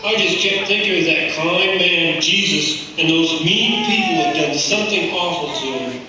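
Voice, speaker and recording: An actor playing a gentle, loyal, timid dog that tells a story simply and childishly in a puppet show. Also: medium pitch, big pitch swings, normal speed, natural voice. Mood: sad. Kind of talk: scripted puppet show narration. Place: church hall. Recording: echoey hall, distant, noisy.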